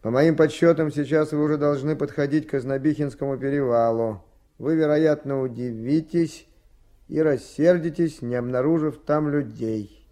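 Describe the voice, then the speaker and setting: A resolute working-class crew boss acted by a man with a slightly high voice. He speaks plainly and deliberately, in the manner of an old radio drama. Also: steady tone, dark tone, close to an everyday voice. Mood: formal, reading aloud. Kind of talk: Soviet radio drama acting.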